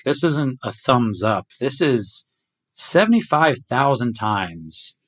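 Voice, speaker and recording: A middle-aged man hosting a podcast conversation over a video call, enthusiastic as he shares numbers with his team. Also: mid-range voice. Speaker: podcast host. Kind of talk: casual chat.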